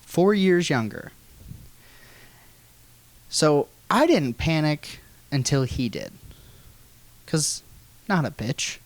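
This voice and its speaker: A man's voice: a little high, slow, pauses often, no room sound. American guy telling a funny story, folksy and sweary, voice jumps around a lot, really dry recording. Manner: animated, folksy, profane. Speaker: guest storyteller. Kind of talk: comic personal storytelling.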